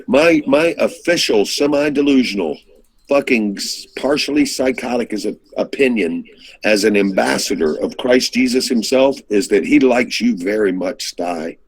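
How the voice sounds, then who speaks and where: A man's voice: mid-range voice, lively intonation, moderate pace, slightly rough, rough recording. A man bantering in an online group chat, sweary and playful, theatrically repeating his phrases for effect. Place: online video hangout.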